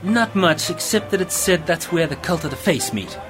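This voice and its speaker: A man's voice: on the high side, animated, normal speed, over music, close to an everyday voice. A man playing an eager, bookish friend full of lore in an amateur science fiction radio play, explaining and musing as he goes. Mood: eager, knowing.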